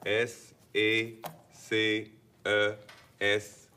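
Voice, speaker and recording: An actor playing a calm male film director, patient and instructive. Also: fairly low pitch, drawn-out words, clean tone, heavily acted.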